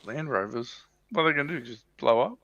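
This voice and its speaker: A man's voice: medium pitch, lively intonation, brisk, fairly bright tone, no echo. Dull-sounding man in informal hobby chat. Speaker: co-host of a hobby livestream. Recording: dull lo-fi close dry sound.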